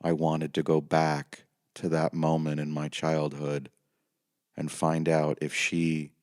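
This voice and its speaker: A man playing a weary, haunted time-traveling prisoner who narrates his memories in a measured, somber, reflective way, as in an audio play. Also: very deep voice, completely flat delivery, a little mellow, no echo, clean studio sound. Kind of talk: scripted first-person dramatic narration.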